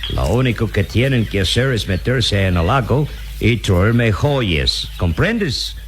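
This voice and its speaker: Sneaky greedy captain in an old radio serial, slow n sly, low-ish voice. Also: male voice, animated, a little hiss or hum. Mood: sly, coaxing. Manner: sly, drawn-out, theatrical. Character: scheming, greedy villainous sea captain, sly and cowardly. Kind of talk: radio drama acting.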